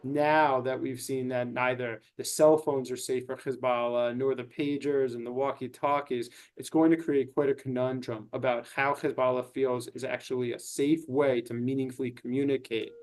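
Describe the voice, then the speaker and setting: A man giving expert analysis in an interview, explaining in long, measured sentences. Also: medium pitch, little pitch movement, darkish tone. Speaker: expert commentator.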